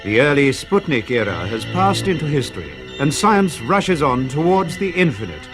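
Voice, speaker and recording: A man's voice: fairly high pitch, very animated, moderate pace. A man narrating a 1950s radio space adventure as a grave, declamatory announcer. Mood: solemn, portentous. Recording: old 1950s radio broadcast over music.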